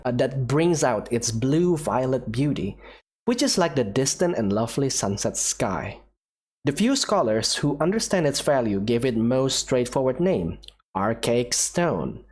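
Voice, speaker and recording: A man's voice: medium pitch, darkish tone. A man hosting a game playthrough, giving casual, musing, somewhat hesitant commentary and reading in-game text aloud. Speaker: gaming host, player.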